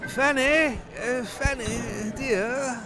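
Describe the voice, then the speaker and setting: A man playing a frail, fussy, self-pitying hypochondriac gentleman suitor in a farcical audio drama. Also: very high-pitched, very slow, over music, exaggerated voice. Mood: doddering eagerness. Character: frail, fussy, stooped thin hypochondriac suitor, Sir Charles.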